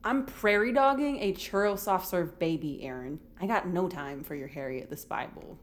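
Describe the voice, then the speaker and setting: A woman's voice: lowish voice, normal speed, a little hiss or hum, natural voice. A woman playing a crude but good-hearted young sidekick in a comic audio drama, with a casual, blunt, slangy delivery. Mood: blunt, exasperated.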